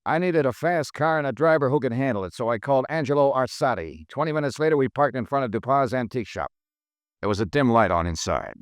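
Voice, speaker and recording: An actor playing a brisk, wisecracking male insurance investigator in a 1950s radio drama, narrating his own case in a clipped, dry way. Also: medium pitch, animated, no room sound, natural voice. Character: hard-boiled male insurance detective, brisk and wry.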